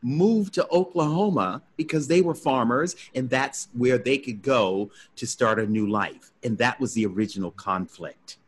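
A man with a baritone voice, a guest actor reflectively telling his story in an interview. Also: normal speed, hardly any pauses, husky. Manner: reflective, acts out his own past thoughts.

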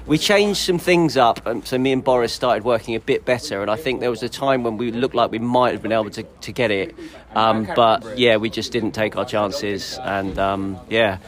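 A man's voice: fairly low pitch, normal speed. A man, an amateur footballer, giving a casual and slightly hesitant account of a match. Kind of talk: casual post-match chat.

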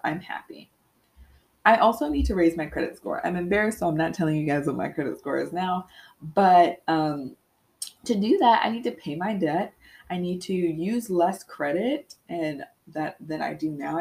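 A young woman hosting a candid solo podcast, talking reflectively with frequent pauses, slightly congested. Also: deep voice, gravelly, a little mellow. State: congested with sniffles. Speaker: podcast host.

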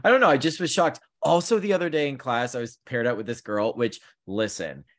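A man in his thirties co-hosting a podcast, telling stories in an animated, chatty way with lots of fillers. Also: medium pitch, a little fast. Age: 30s. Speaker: podcast co-host; counseling student. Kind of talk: casual chat.

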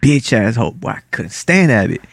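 A man on a podcast telling stories in a slangy, profane, animated way. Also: mid-range voice, a little fast, creaky, no echo, studio quality. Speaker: podcast co-host. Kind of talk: casual storytelling chat.

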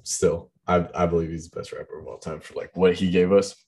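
A young man with a deep voice chatting casually about the music he loves, rambling with lots of fillers. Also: flat delivery, a little fast, gravelly, darkish tone. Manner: rambling, heavy use of "like".

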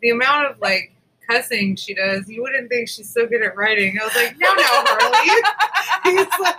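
A woman co-hosting a podcast in casual chat, reacting in an informal, animated way and acting out what people said. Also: a little high, moderate pace, crowd noise, low-quality recording. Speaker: podcast co-host.

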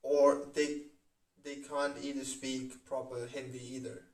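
A man's voice: mid-range voice, measured pace. Man from India, casual chatty talk, echoey room, distant mic. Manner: chatty, animated, says "mate" and "like". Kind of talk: casual chat. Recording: roomy echo, away from mic.

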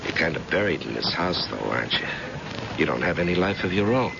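Very deep-voiced protective man, swooping delivery. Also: very animated, fairly quick, gravelly, exaggerated voice. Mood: hesitant, gentle. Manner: hesitant, then blunt and urgent. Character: blunt, protective male family friend in a crime thriller. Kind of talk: old-time radio drama acting. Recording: dull, very noisy old radio recording.

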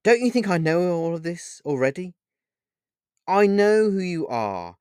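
A man voicing a distraught man in a fantasy story, pleading and accusing with emotional, halting speech. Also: a little high, animated, no room sound, clean studio sound, voice pushed up. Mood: tearful, desperate. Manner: emotional, halting, repeating words. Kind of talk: dramatic fantasy storybook dialogue.

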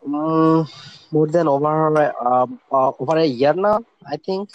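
A man's voice: a little high, measured pace, dull audio. A young man from Bangladesh, a guest in his twenties speaking casually, hesitant, with many fillers and restarts. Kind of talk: casual interview talk.

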